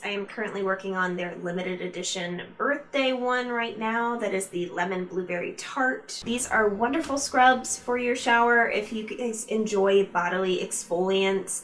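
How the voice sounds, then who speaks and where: A woman's voice: mid-range voice, steady tone, normal speed, few pauses, fairly bright tone, recorded from a distance. A woman chatting informally to her viewers as a beauty vlogger, reviewing products in a casual, direct way. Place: room at home used for filming.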